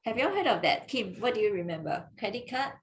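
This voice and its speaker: A woman's voice: fairly high pitch, sing-song, fast talker, a little hiss or hum. A woman from Malaysia, a gemologist hosting a webinar in a chatty, teasing way with frequent laughs, speaking over a video call. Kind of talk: casual webinar talk.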